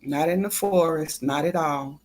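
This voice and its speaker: Slow, halting livestream host of unclear sex. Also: medium pitch. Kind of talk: casual livestream talk.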